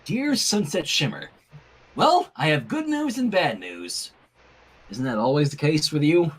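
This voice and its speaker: A man's voice: a little high, big pitch swings, unhurried, pitched below the performer's own voice. A man voicing a bookish, chatty young woman reading out her letter in a wordy, polite, earnest way.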